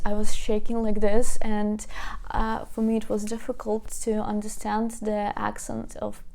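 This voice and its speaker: Young female teacher, Ukrainian first language, earnest and halting, slow, clean crisp tone. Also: mid-range voice, warm tone. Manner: earnest, hesitant with many fillers and restarts. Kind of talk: personal talk to camera.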